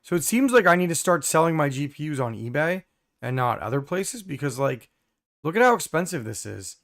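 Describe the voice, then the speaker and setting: A hoarse young man hosting a casual live stream, reading viewer comments with many fillers and throat clearing. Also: a little high, sing-song, fairly quick, fairly bright tone.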